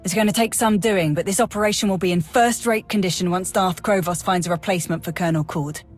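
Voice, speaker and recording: A woman's voice: lowish voice, quick pace, faint music behind, lightly acted. Woman acting a sincere, dutiful army major. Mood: brisk, dutiful. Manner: earnest, crisp military address. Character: dutiful, sincere female Imperial army major, loyal and frank. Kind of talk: dramatic video game acting.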